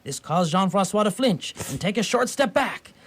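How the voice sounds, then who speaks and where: High-pitched male radio-drama narrator. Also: animated, normal speed, a bit bright, slightly rough. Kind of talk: dramatic scripted story narration for radio theatre.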